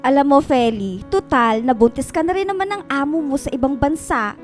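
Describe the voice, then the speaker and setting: A woman's voice: high voice, lively intonation, normal speed. A woman playing a snide, money-minded, meddling relative in a radio drama, with a Filipino accent. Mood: snide, goading. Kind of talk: Tagalog radio drama acting. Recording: music under the voice.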